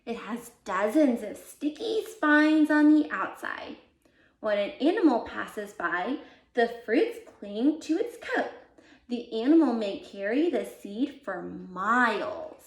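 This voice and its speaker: A woman reading a children's picture book aloud as a volunteer, with deliberate, expressive emphasis for young listeners. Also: fairly high pitch. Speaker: volunteer read-aloud reader. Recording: roomy, echoey sound.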